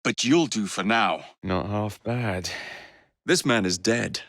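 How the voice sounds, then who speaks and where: An actor with an English accent playing a cocky, roguish young Victorian gang leader in a video game, with a cheeky, wry, theatrical manner. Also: male voice, fairly low pitch, animated, takes it slowly, a bit bright, no echo, clean studio sound, heavily acted. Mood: playful, teasing.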